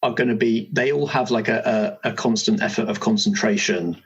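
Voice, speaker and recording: A man's voice: lowish voice, steady tone, brisk, slightly rough, muffled recording. A man hosting a tabletop character creation session as game master, guiding it with casual questions and explanations.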